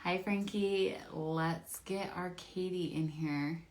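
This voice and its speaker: A woman's voice: fairly low pitch, steady tone, slow. A woman hosting a casual livestream on a video call, bubbly and chatty and laughing often. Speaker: livestream host. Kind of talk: casual chat.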